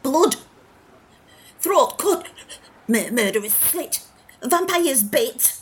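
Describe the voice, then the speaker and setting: An actress playing a terrified old woman ranting in the street, pleading and lurching between shouts and whispers. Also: high-pitched, lively intonation, drawn-out words, slight background noise, exaggerated voice. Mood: raving. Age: older.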